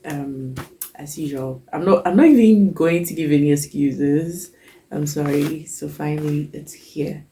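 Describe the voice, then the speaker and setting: Woman with a cold, low gravelly voice. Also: mellow. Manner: chatty, list-like, frequent ums. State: has a cold. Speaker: cooking channel host. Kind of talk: casual talk to camera.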